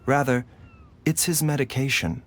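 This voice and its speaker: An actor playing a composed, courteous fantasy doctor in a video game, speaking with measured, precise formality. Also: male voice, medium pitch, steady tone, natural voice. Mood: grave, explanatory. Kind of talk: video game character acting. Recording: music faintly behind the voice.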